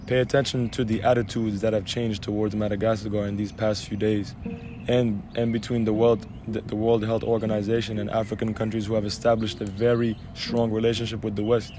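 Assertive man from Algeria, fairly low. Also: little pitch movement, fairly quick, background noise. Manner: assertive, speech-like and emphatic. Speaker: guest. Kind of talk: conversational interview.

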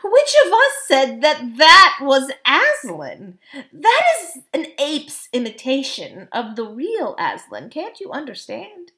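A woman's voice: medium pitch, huge pitch swings, a little slow, few pauses. A woman voicing a proud, hot-tempered young king in a storybook, speaking in a lofty, archaic, impassioned way. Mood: indignant.

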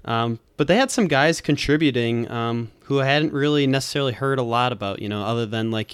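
A man's voice: mid-range voice, smooth, no room sound. A man co-hosting a sports podcast, casually breaking things down in an informal, detail-heavy way. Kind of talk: casual sports analysis chat.

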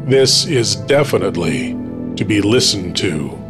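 A man hosting a show, reading scripted countdown narration in a measured, deliberate announcing style. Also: on the low side, sing-song. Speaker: podcast host. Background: music.

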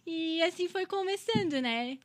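A woman's voice: extremely high pitch, animated, a little slow. A woman in her twenties from Brazil, a singer chatting casually as an interview guest, often ending phrases with a questioning tag. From Criciúma, Santa Catarina, Brazil. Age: 20s.

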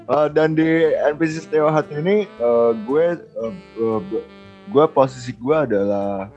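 A man's voice: mid-range voice, unhurried, a little mellow, faint music behind. A man with an Indonesian accent chatting casually as a co-host, joking and a little hesitant, on a dull lo-fi recording. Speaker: trainee podcast co-host. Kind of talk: casual chat.

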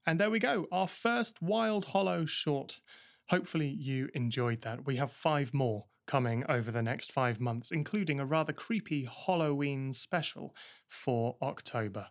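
A man's voice: medium pitch, moderate pace, creaky. A man presenting a scripted podcast sign-off and credits. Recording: loud guitar music behind the voice. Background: guitar music.

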